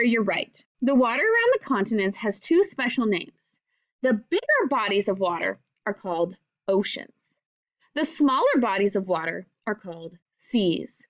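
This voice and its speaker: A woman's voice: on the high side, no room sound, lo-fi sound. A woman reading a children's book aloud expressively, with simple explanatory asides in the manner of a teacher. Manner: expressive, simple phrasing aimed at young children.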